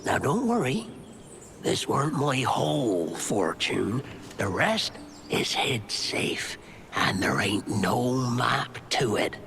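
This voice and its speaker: An actor playing a gruff, wry old pirate captain reading out his letter in a game cutscene, deliberate and theatrical, with colloquial seafaring speech. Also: mid-range voice, very animated, a little hiss or hum, heavily acted. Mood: sly. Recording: loud music under the voice.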